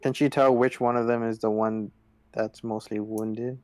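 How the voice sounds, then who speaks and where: Man, medium pitch, dry close sound, matter-of-fact, casual tabletop player. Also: flat delivery, moderate pace, darkish tone, dry recording. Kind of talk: casual game chat.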